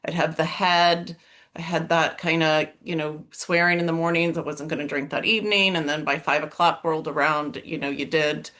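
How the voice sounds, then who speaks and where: Halting woman, American-sounding accent, low voice. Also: steady tone, a bit bright. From Northern Ireland. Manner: halting, lots of fillers and restarts. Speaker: coaching client. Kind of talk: candid interview.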